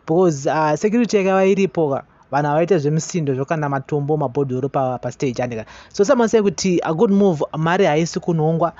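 A Zimbabwean man presents news reaction commentary in a fairly high voice. His delivery is halting, with repeated words. The recording is dull and lo-fi. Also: mellow. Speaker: online gossip channel host. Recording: dull, lo-fi voice-over.

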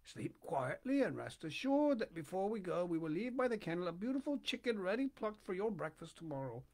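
A man voicing a scheming male polecat, speaking low and wheedling in a read-aloud storybook. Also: very animated, a little fast, a little mellow, heavily acted.

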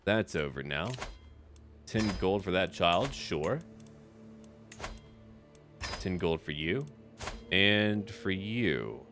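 A man's voice: deep voice, animated, extremely slow, pauses often. A man giving casual video game commentary, musing aloud and addressing the characters as he plays. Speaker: gaming video narrator. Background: background music.

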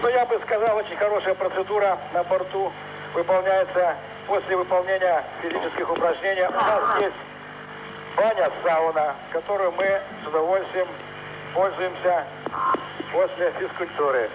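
A man speaks from a space station cabin through a headset, pausing as he searches for his words. His voice sits high and reaches the listener muffled, under a thick hiss of static. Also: steady tone. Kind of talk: live answers from orbit. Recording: muffled noisy space link.

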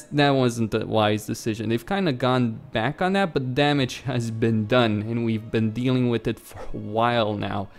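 A man's voice: mid-range voice, creaky, a little mellow. A man with a Romanian accent, a gaming YouTuber talking bluntly and swearing freely in casual commentary over gameplay.

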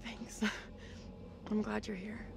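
A woman's voice: mid-range voice, little pitch movement, slow, vocal fry, music in the background. An actress playing an anxious, blunt, insistent young modern woman in danger in a video game. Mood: shaken. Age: young adult. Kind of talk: naturalistic game cutscene acting.

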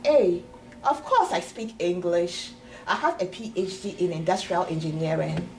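A woman acting an excitable, apologetic immigrant woman in a comedy sketch, with a Ghanaian accent. She gushes and restarts eagerly. The sound is roomy and distant, with a light hiss. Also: fairly low pitch, moderate pace, close to an everyday voice. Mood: pleading, insistent. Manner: gushing, hesitant restarts. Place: indoor office waiting room and counter. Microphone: far camera.

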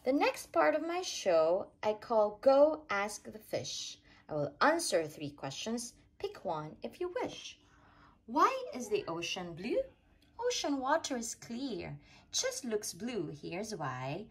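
A woman reading a rhyming children's picture book aloud in an expressive, sing-song storytelling way. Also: mid-range voice, slow, breathy edge. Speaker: storytime reader.

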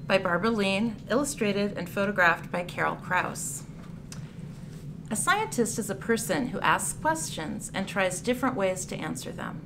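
Lively woman reading a children's storybook. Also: mid-range voice, moderate pace. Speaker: librarian reading a storybook aloud. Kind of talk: read-aloud picture book for children. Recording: clear background noise, room ambience.

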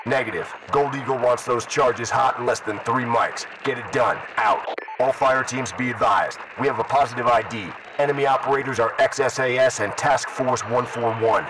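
A man's voice: medium pitch, normal speed. A man playing a stern military commander in a video game, giving terse, procedural orders over a radio. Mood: stern, controlled. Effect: radio filter. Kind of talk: military radio chatter voice acting. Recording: noisy radio transmission, distant voice.

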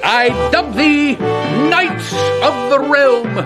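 A man's voice: very high-pitched, expressive, drawn-out words, exaggerated voice. A middle-aged actor playing a hearty, bombastic king who makes overblown regal proclamations in a game cutscene. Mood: grandiose. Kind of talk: theatrical acting in a live-action game cutscene. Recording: loud music behind the voice.

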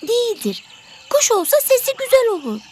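A tiny selfish songbird for a children's cartoon, extremely high and lively in pitch. The bird is boastful, dismissive and always ready to argue. There is faint noise behind the voice. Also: female voice, moderate pace, heavily acted. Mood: scornful. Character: selfish, vain, argumentative little songbird. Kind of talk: children's educational cartoon acting.

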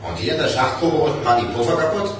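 Man playing a brisk boss, echoing from afar. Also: medium pitch, big pitch swings, lightly acted. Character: brisk, sociable male boss of lift mechanics. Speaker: radio drama actor. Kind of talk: naturalistic 1980s German radio crime drama acting. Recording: echoey, distant, noisy.

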